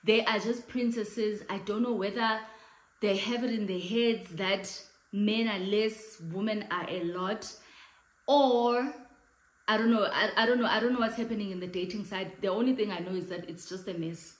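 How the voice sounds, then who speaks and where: A woman's voice: mid-range voice, normal speed. South African woman with a big voice, late 30s, chatty vlogger talking to camera, room echo. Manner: chatty, animated hand gestures, mixes in Xhosa phrases. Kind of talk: casual chat to camera. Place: living room, indoors, in front of a sofa.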